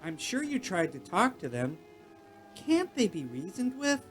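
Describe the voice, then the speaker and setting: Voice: medium pitch, very animated, a little slow, fairly bright tone, soft background music. An actor playing an innocent, eager young boy space hero in a radio play, with an earnest, wholesome manner. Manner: earnest, wholesome, gee-whiz.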